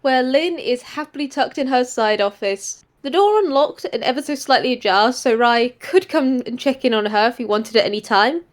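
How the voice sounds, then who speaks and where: A woman improvising present-tense narration as a player in a role-play story, gushing and expressive. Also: high voice, moderate pace, few pauses.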